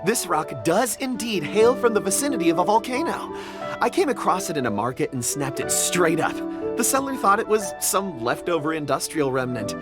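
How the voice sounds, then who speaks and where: An actor playing a young, eccentric rock expert in a video game, polite, chatty and enthusiastic. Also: male voice, high voice, very animated, moderate pace. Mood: fascinated. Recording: music behind the voice.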